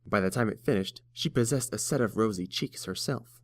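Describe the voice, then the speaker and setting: A man reads scripted story narration in a deep, low voice. The sound is dry and close, with no room around it, as in an audiobook. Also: fairly quick, no echo.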